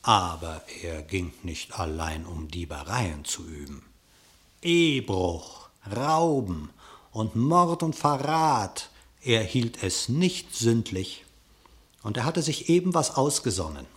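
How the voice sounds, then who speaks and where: A man narrating a verse fable in an expressive, theatrical, declamatory storytelling manner. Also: mid-range voice.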